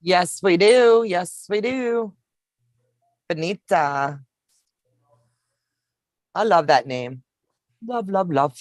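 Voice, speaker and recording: A woman's voice: on the low side, animated, drawn-out words, pauses often, darkish tone, no room sound, clean studio sound. Homey woman in her fifties teaching sewing. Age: 50s. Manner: homey, calls viewers baby, narrates each step. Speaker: sewing livestream host. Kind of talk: casual sewing demonstration chat.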